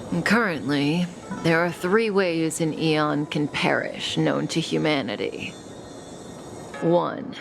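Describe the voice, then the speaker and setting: An actress playing a cool, enigmatic, teasing female schemer in a video game, with a languid, softly sardonic delivery and a velvety voice. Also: low-pitched, creaky, full character voice. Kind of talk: scripted video game character dialogue. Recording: studio voice over game music.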